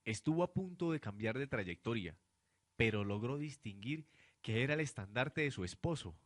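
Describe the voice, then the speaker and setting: A man's voice: medium pitch, animated, normal speed, no echo, studio quality. A young man reading dramatic scripted narration for a radio drama, in a noisy amateur recording. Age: young adult. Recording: noisy amateur student production.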